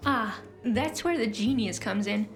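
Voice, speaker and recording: A woman's voice: fairly high pitch, animated, unhurried, faint music behind. A woman playing a coolly fascinated scientist-healer in an audio drama, speaking in an articulate, clinical, lecturing way. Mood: explanatory.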